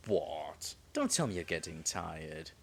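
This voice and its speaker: A man's voice: very deep voice, sing-song, takes it slowly, vocal fry, breathy edge, exaggerated voice. A man acting in an audio roleplay as a nervous male dragon disguised as a human guide, stammering and muttering asides to himself. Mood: brisk, feigned confidence.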